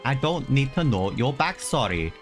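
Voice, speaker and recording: A man's voice: mid-range voice, sing-song, normal speed. Casual male streamer over faint game music. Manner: slangy, grumbling, talks back to characters. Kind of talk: casual live gameplay commentary.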